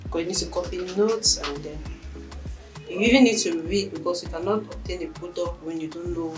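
A woman's voice: on the low side, steady tone, brisk, over music. A young woman, a spa esthetician answering interview questions plainly and with confidence, in a small treatment room. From Cameroon. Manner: plain, self-assured, brief answers.

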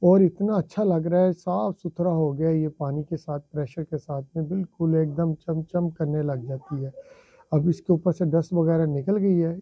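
Husky-voiced man explaining a car wash. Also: fairly high pitch, no room sound, muffled recording. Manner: chatty, explaining step by step, addressing viewers politely. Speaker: vlogger. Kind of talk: casual how-to narration. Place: home garage, indoors.

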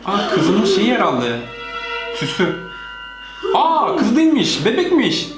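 A man's voice: high voice, wildly swooping pitch, slow pace. A man, probably a parent, hosting casual reaction and gameplay commentary, explaining things to viewers and a child. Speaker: host of a family gaming channel. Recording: roomy echo, music behind. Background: cartoon and game audio with music.

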